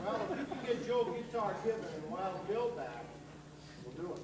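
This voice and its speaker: A man's voice: sits high, big pitch swings, normal speed. A man on stage playing an affable, easygoing lounge bandleader in a comedy. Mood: conceding. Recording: very echoey theater, distant, noisy audience.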